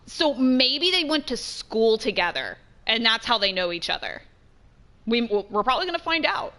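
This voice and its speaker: A woman giving casual let's-play commentary, chatty and excitable, thinking out loud as she plays. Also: on the high side, normal speed. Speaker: gaming streamer. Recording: game music behind. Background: game music.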